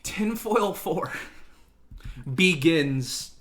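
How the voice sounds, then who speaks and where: A man's voice: fairly high pitch, lively intonation, drawn-out words, fairly bright tone. A young man hosting a casual chat podcast, slangy, profane and jokey. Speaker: podcast host.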